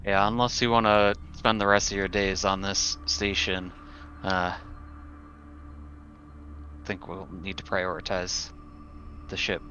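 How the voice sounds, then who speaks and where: A man playing a tabletop roleplaying game, speaking casually and deliberately with some hesitation as he talks through his character's actions. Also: deep voice, little pitch movement, bright, forceful tone, a little hiss or hum. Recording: dull audio with music behind.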